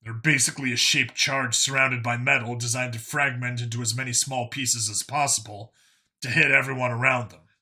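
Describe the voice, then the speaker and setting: A man's voice: medium pitch, steady tone, a little fast, sharp tone, slightly rough. A man voicing a blunt, irritable human male detective in a science fiction audiobook. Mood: explaining. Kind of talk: audiobook character acting.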